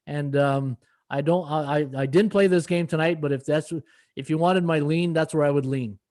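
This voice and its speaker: Man, middle-aged, slightly hoarse, somewhat high voice, casual rambling sports betting talk. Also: normal speed, darkish tone. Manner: rambling, many fillers, reads stats and chat. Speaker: podcast host and sports handicapper. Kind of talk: casual live-stream betting analysis.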